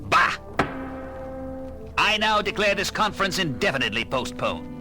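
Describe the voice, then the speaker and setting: A scornful alien ambassador, his voice a little high and very animated. He blusters through sci-fi audio drama over loud music. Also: moderate pace. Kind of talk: science-fiction audio drama acting. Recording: loud music bed under dialogue.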